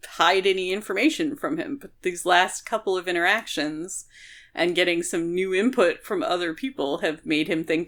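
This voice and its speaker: A woman co-hosting a book podcast, talking casually and explaining things in an animated, conversational way. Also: fairly low pitch. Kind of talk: casual chat discussing a novel.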